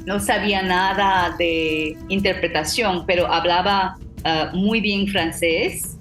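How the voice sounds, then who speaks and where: Slow, unhurried Trinidadian woman with roomy echo. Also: on the low side, fairly even intonation, a bit bright, soft background music. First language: English. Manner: halting, frequent restarts and fillers, occasional light laughs. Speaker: professional interpreter, guest. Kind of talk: personal storytelling talk.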